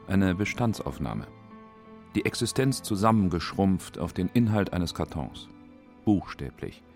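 A man with a very low voice reads scripted documentary narration for radio. His phrasing is short and factual, with faint music behind him. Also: darkish tone.

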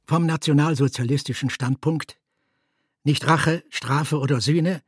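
A man reading scripted literary documentary narration, calm and matter-of-fact. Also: on the high side, measured pace, husky, no echo, clean studio sound. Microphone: close studio microphone, dry.

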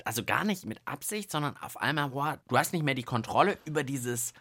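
A man's voice: medium pitch, animated, fairly quick, bright, forceful tone. A man chatting playfully and casually as co-host of a children's science radio show.

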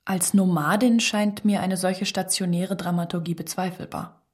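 Low-pitched woman reading literary prose. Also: steady tone, normal speed, a little mellow. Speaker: reader of a literary radio text. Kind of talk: scripted literary narration.

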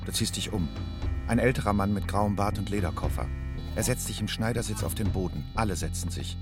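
An actor playing a haunted, watchful refugee man in a radio drama monologue, husky, speaking in measured, stark fragments. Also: lowish voice, lightly acted. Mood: tense, grave.

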